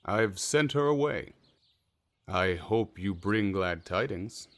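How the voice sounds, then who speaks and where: An actor playing a cunning, self-assured wealthy gentleman in a period audio drama, urbane and wry. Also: lowish voice, animated, takes it slowly. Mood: wry, composed. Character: cunning, unruffled wealthy merchant gentleman accused of witchcraft.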